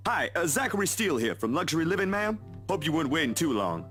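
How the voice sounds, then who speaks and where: A man puts on a big, friendly male photographer from a visual novel, humble and polite, with an easygoing folksy way of talking. His voice is bright and swings up and down a lot. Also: fairly high pitch, very animated, fast, faint music behind, pitched above the performer's own voice.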